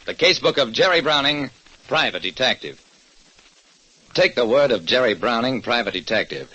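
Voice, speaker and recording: A hard-boiled private detective, telling his case in 1940s radio style. His medium-pitched voice is forceful and animated, with a wry, terse delivery. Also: moderate pace, slightly rough, lo-fi sound. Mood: wry, dramatic.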